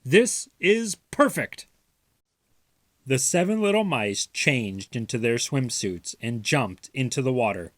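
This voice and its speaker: A man narrating a children's storybook aloud with deliberate phrasing for young listeners. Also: medium pitch, expressive, dry recording. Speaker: storybook reader.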